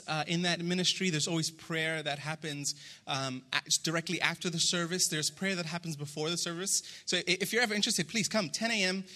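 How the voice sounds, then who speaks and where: A man's voice: sits high, sharp tone. A man hosting a casual, encouraging live interview at a church gathering. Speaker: church host interviewing a member.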